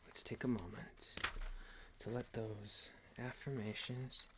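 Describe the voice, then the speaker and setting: A man playing a calm boss who gently leads a guided meditation in a breathy, hushed ASMR whisper, speaking deliberately and soothingly. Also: fairly low pitch, noisy background. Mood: soothing, instructive.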